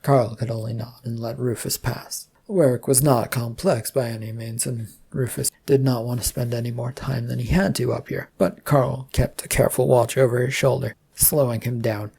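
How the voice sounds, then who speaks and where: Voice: medium pitch, steady tone, normal speed, creaky, hardly any pauses, warm tone, husky. A narrator reading scripted fiction as a storyteller.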